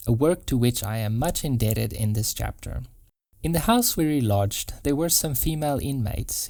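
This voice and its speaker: Mellow male audiobook narrator, dry sound. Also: mid-range voice, no echo. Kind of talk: scripted non-fiction audiobook narration.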